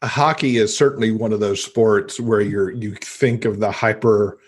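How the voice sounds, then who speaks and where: A man's voice: on the low side, steady tone, normal speed, breathy edge. A man speaking as a podcast guest in casual conversation, explaining at length with conversational asides.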